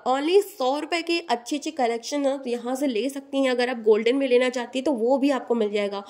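High-voiced chatty woman vlogger. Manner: chatty, listing prices one after another. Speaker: shopping vlogger. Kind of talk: casual market tour narration. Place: outdoor street market.

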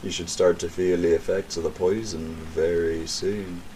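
An actor playing a sinister criminal mastermind in an audio drama, taunting a captive in formal, theatrical language. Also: male voice, low-pitched, fairly even intonation, normal speed, mellow, slight background noise, lightly acted. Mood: gloating, menacing.

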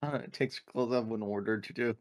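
A man's voice: mid-range voice, fairly even intonation, fairly quick. A man talking casually as a player in a tabletop roleplaying game. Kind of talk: casual chat.

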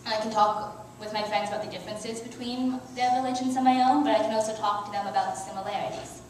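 A woman's voice: medium pitch, steady tone, fast talker, far from the mic, a little hiss or hum. Teen girl preaching in echoey church. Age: teens. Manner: earnest, with soft laughs and small restarts. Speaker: youth speaker. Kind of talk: reading a prepared sermon. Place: church sanctuary. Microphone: headset mic, filmed by far camera.

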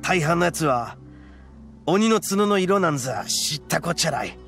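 An actor playing a brash, proud young horned oni gang boss in an anime game, with rough, blunt, swaggering speech. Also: male voice, fairly high pitch, expressive, moderate pace, a bit bright, soft background music. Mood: solemn, explaining.

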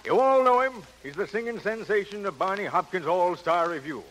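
Swooping high-voiced nightclub emcee. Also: male voice, lively intonation, fairly quick, fairly bright tone, a little hiss or hum, poor audio. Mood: showy, proud. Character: genial, proud nightclub master of ceremonies. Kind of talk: 1930s radio acting, stage announcing.

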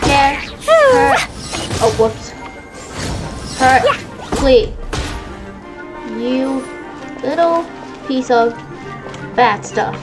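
Animated high-voiced woman gamer. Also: very slow, long pauses. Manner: reactive, exclamatory chatter to herself. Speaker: gaming video host. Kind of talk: casual gameplay commentary. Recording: loud game audio and music behind.

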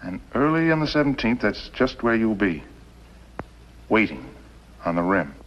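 A man playing a cunning, controlling western villain who speaks in a deliberate, probing, commanding way. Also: fairly low pitch, big pitch swings, a little hiss or hum. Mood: calculating, menacing. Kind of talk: 1950s western TV acting. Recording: muffled vintage TV soundtrack.

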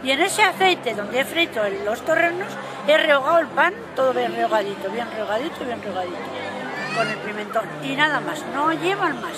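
This is an older woman. She explains casually and repeats phrases for emphasis. A loud crowd surrounds her in the open air. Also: high-pitched, animated, a little fast. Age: 60s or 70s. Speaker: cooking contest entrant. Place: outdoor town square. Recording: outdoor camera sound with loud crowd.

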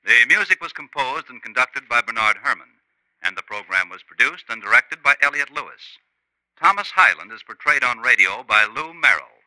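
Old time radio announcer guy, super bright punchy voice, dry sound, 50s style. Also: medium pitch, no room sound, rough recording. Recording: vintage 1950s radio recording.

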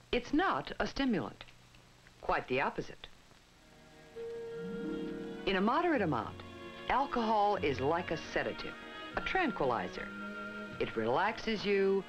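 A fairly low-voiced American woman narrates a 1960s instructional film, with expressive rises and falls in her measured phrasing. The soundtrack is muffled, and music plays clearly behind her. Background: prominent music.

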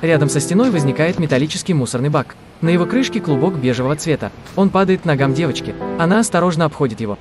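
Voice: mid-range voice, expressive, fast talker, few pauses. Narrator describing a cartoon over prominent music. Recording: music under the voice. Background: prominent soundtrack music.

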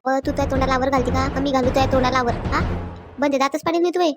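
A woman's voice: sits high, steady tone, fast, over music, close to an everyday voice. A woman voicing a hot-tempered, quarrelsome married village woman in a comedy cartoon, scolding and threatening with a rural Maharashtrian accent. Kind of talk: Marathi comedy cartoon dialogue.

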